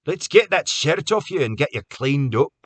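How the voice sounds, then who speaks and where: A man's voice: on the high side, big pitch swings, measured pace, a bit bright, long reverb tail, voice pushed up, exaggerated voice. A man voicing an anxious village man fussing over a hurt friend. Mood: coaxing. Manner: urgent, fussing.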